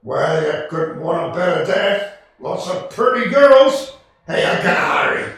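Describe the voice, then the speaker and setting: Actor as excitable teen brother, high bouncy voice, radio drama, off mic. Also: male voice, unhurried, gravelly, exaggerated voice. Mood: breezy. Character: breezy, excitable teenage younger brother. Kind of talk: 1940s radio play acting on stage. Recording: distant, very echoey hall.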